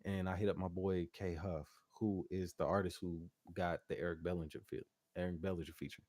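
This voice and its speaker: A man with a low, deep voice talks as a guest artist in a casual interview. His delivery is informal and slangy, coming in loose bursts. The sound is dry and close, with no room reverb. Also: steady tone, brisk, darkish tone. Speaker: interview guest, R&B singer and producer.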